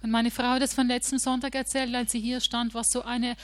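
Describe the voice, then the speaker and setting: A woman's voice: a little high, little pitch movement, brisk. A woman interpreting a preacher's sermon live in a church, fluent and matter-of-fact.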